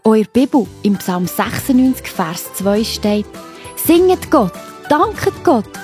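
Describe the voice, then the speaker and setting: A woman with a Swiss German accent, gently narrating and explaining a Bible story to children. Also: on the high side, sing-song, unhurried, faint music behind.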